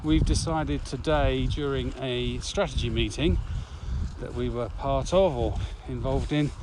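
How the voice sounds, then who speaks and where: Breathy man talking on a windy walk. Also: mid-range voice, measured pace. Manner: slightly breathy from walking, animated when ranting. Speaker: podcast co-host, virtual training company. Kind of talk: casual chat while walking outdoors. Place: outdoors, windy. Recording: loud outdoor wind noise.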